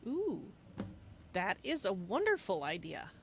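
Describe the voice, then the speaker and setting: A woman's voice: mid-range voice, huge pitch swings, a little slow, vocal fry, a little hiss or hum, exaggerated voice. A woman voicing a polite, hesitant cat-folk adventurer from a fantasy game, with a wry manner. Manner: speaks of herself in third person, hesitant, wry.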